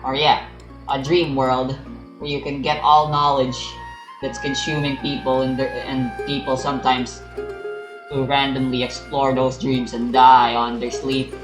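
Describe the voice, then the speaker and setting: Casual female game streamer, very low flat voice, offhand rambling, roomy sound over game music. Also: measured pace. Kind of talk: casual gameplay commentary. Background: video game music.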